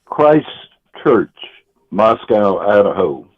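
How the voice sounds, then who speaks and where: A man calls in over a tinny phone line. His voice is on the low side, and he lays out his point deliberately, pausing between words. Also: big pitch swings. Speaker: caller.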